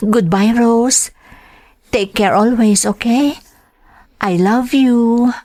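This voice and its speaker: A woman's voice: fairly high pitch, very slow, a little mellow, dry recording. Tender homesick Filipino mother. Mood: tender farewell. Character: Elena, homesick gentle mother working abroad as a nanny. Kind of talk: sentimental radio drama acting and letter narration.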